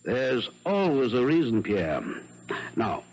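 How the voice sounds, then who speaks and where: An actor playing a shrewd, condescending French amateur detective in a 1970s radio drama, musing theatrically and patronizingly. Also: male voice, mid-range voice, big pitch swings, slow pace, darkish tone. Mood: condescending, probing. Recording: dull 1970s radio broadcast.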